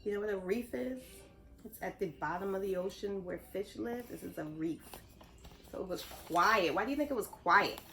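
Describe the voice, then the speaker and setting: A woman reading a story aloud to young children, expressive, pausing to explain things and ask simple questions. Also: medium pitch. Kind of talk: animated read-aloud narration.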